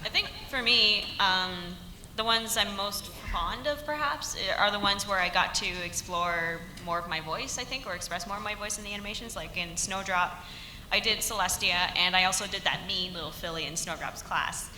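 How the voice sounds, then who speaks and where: A voice actress talking casually on a convention panel, lively and giggly. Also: female voice, medium pitch, moderate pace, a little hiss or hum. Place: large convention hall. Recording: echoey hall with long reverb.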